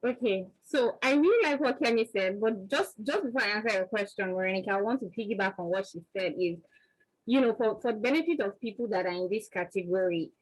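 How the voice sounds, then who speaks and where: A woman's voice: mid-range voice, fairly quick, breathy edge, low-quality recording. A woman in her thirties with a Nigerian accent, speaking as a panelist on a video call. She talks earnestly and emphatically and repeats words as she builds each point. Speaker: panelist, vaccine research scientist. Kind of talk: motivational panel answer.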